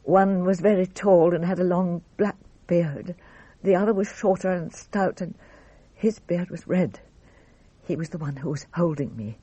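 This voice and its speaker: A composed, guarded married lady in a radio drama. Her voice is soft-edged and husky, measured and formal, recorded very dry. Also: fairly low pitch, no echo. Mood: grave, shaken. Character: composed French wife recounting her ordeal, guarded.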